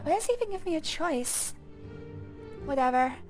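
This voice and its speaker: A young woman with a high voice gives relaxed commentary over a video game. She thinks aloud and pokes fun at herself, and faint game music plays underneath. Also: normal speed. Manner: chatty, self-deprecating, thinks aloud. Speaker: gaming streamer. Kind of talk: casual gameplay commentary.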